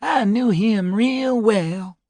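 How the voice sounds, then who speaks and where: A male narrator voicing a frail woman over ninety who speaks slowly and softly as she remembers. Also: high-pitched, no echo, voice pushed up, full character voice. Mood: wistful. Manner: slow, soft, unhurried drawl.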